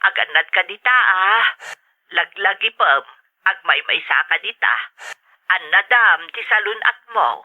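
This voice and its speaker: A woman's voice: mid-range voice, expressive, measured pace. A woman playing a caring, anxious older mother of a grown son, affectionate and solicitous, heard through a telephone filter. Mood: caring, concerned. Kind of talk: dramatic radio-style acting. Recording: narrow tinny telephone line.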